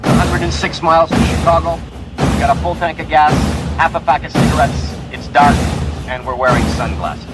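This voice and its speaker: Distant man's voice under very loud music. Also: fairly high pitch, fairly even intonation, normal speed. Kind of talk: clips of film and show dialogue.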